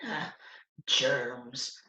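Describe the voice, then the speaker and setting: A woman's voice: expressive, very slow, bright, forceful tone, reverberant, recorded from a distance, full character voice. A woman voicing a streetwise, brash little male mouse from the city, scornful and coaxing, in a storybook reading. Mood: scornful, knowing. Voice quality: squeaky. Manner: scornful, know-it-all, coaxing.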